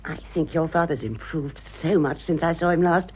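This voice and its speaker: A woman's voice: low-pitched, moderate pace, darkish tone, slight background noise, close to an everyday voice. An actress playing a vain, gushing, aging society mother with an upper-class English accent in a radio comedy. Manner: effusive, drawling, girlishly theatrical. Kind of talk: stage comedy radio acting. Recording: dull, muffled old radio drama.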